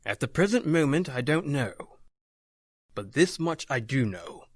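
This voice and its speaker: A man playing a grave, authoritative occult doctor in an old-time radio horror drama, speaking emphatically and deliberately. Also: medium pitch, very animated, no echo, clean studio sound. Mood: grave, urgent. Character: grave, authoritative male doctor battling the supernatural.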